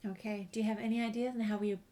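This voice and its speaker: A woman's voice: medium pitch, little pitch movement, rapid-fire, dark tone. A woman hosting a game walkthrough, casually commenting and reading dialogue options aloud. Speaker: gamer, host of a game walkthrough.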